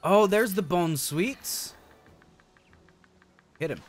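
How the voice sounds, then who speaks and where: Male game streamer, animated and slangy, thinking out loud, music underneath. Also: high-pitched, drawn-out words. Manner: chatty, thinking aloud, slangy. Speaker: streamer, show host. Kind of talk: casual game stream commentary.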